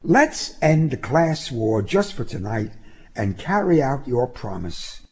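A man voicing a sharp-tongued, unflappable young woman activist in a told story, sarcastic, persuasive and teasing. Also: medium pitch, very animated, slow pace, breathy edge, muffled recording, pitched above the performer's own voice, exaggerated voice. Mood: cool, coaxing.

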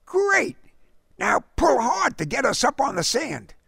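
Excitable, bossy young storybook boy, played by a male narrator, very high and urgent. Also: a little slow, creaky, slightly rough, no echo, studio quality, heavily acted. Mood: bossy, eager. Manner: urgent, exclamatory.

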